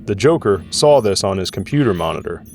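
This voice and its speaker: A man's voice: fairly low pitch, lively intonation, a little fast, noisy background. A man narrating an audio drama in a scripted storytelling style.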